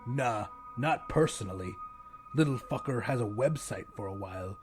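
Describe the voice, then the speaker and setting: A man voicing a gruff, laid-back, sardonic male smoker in an audiobook, with blunt casual talk. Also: expressive, moderate pace, over music, natural voice. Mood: dismissive. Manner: low-key, blunt, casual.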